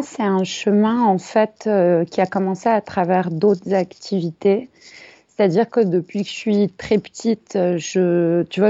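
A middle-aged woman from France, a yoga teacher, answering interview questions in a hesitant, reflective way with many pauses and fillers, over a remote recording. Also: mid-range voice, creaky, dark tone, no room sound. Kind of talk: casual interview chat. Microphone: remote call recording. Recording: dull, dry remote recording.

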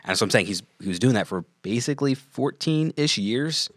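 A man's voice: mid-range voice, lively intonation, a little fast, no room sound, studio quality. A man co-hosting a podcast, thinking aloud and sometimes losing his train of thought in a casual faith discussion. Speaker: podcast co-host.

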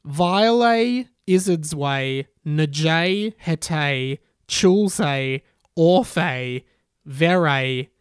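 A man voicing a portentous wizard teacher addressing students in a loud, deliberate, declamatory way, as in a storybook. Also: a little high, animated, smooth, no room sound, studio quality. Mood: portentous, commanding.

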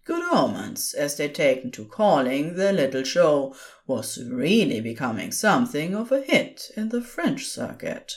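Low-voiced, expressive woman storyteller. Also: unhurried. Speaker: narrator. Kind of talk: scripted storytelling narration of audio fiction.

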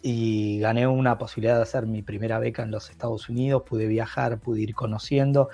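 A man's voice: medium pitch, fairly even intonation, fairly quick, warm tone, faint music behind. A middle-aged man from Brazil, a Portuguese speaker, talking enthusiastically as an interview guest and often restarting his sentences. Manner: enthusiastic, rambling with frequent restarts. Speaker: podcast guest. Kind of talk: casual interview conversation.

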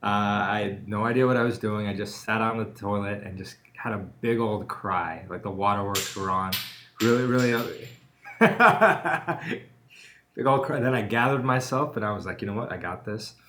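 Low-voiced joking male podcast host. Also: moderate pace, a bit bright. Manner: joking storyteller, laughs often. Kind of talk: casual chat.

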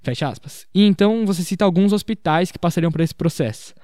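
A man's voice: sits high, quick pace, a little mellow, no echo, clean studio sound. A teenage boy, hosting a student interview podcast in a formal, scripted manner. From Brazil. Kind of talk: prepared podcast introduction and interview questions.

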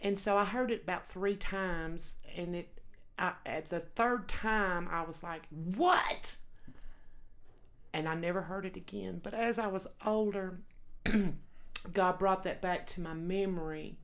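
Woman, Texas accent, lowish voice, guest minister, earnest testimony. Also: takes it slowly. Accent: Texan. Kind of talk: personal testimony.

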